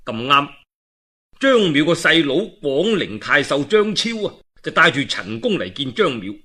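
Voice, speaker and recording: A man's voice: on the high side, a little slow. A man with a Cantonese accent telling a historical tale in a colloquial, dramatic oral storytelling style. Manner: colloquial, animated, dramatic asides.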